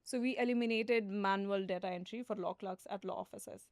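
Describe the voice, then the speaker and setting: A woman's voice: medium pitch, steady tone, a little fast, no room sound, studio quality. A woman founder as a podcast guest, explaining steadily with frequent fillers. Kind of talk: conversational interview.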